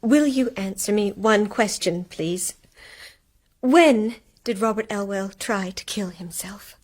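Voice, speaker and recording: An actress in a radio drama playing an anxious, stricken wife who speaks in a hushed, intense way. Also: female voice, mid-range voice, slow. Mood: hushed dread. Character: anxious, stricken wife uncovering a ghostly truth.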